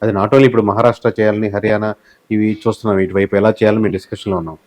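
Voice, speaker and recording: A man's voice: lowish voice, level tone, rapid-fire, a little mellow. A man with a Telugu accent giving explanatory interview answers as a political analyst, mixing in English words and phrases. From Telugu-speaking southern India. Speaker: guest, election survey company head.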